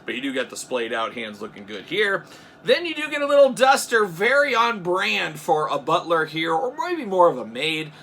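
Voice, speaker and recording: A young adult man hosting a casual toy unboxing, joking and rambling in asides, swinging between loud bursts and low mutters. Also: a little hiss or hum. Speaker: toy reviewer.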